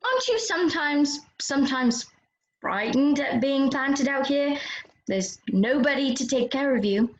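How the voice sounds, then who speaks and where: A woman's voice: high voice, animated, measured pace. A woman playing a curious, polite but easily exasperated little girl in a children's read-aloud play. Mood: concerned. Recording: roomy, slightly dull sound.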